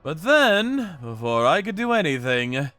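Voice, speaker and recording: A man's voice: on the high side, big pitch swings, a little slow, fairly bright tone, soft background music. A man narrating a manga story in the first person with a theatrical, cocky delivery.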